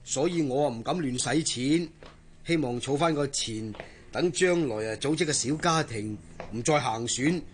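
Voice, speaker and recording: An actor playing an earnest, devoted young sailor in a radio drama, speaking colloquially and solicitously with a Hong Kong Cantonese accent. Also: male voice, medium pitch, normal speed, slight background noise, close to an everyday voice. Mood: candid, reflective.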